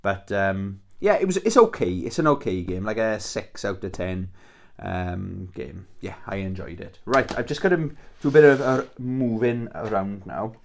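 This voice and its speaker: A man's voice: fairly low pitch, expressive, moderate pace. A Welsh man hosting his own channel, chatting casually and enthusiastically about his games, swearing freely and restarting his sentences. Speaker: YouTube channel host. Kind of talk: casual rambling video chat about his game collection.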